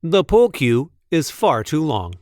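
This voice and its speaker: Animated man reading aloud, slow and deliberate. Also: a little high, no room sound, studio quality. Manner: deliberate, playful emphasis on repeated sentences. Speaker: read-aloud storyteller. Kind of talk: expressive picture book read-aloud.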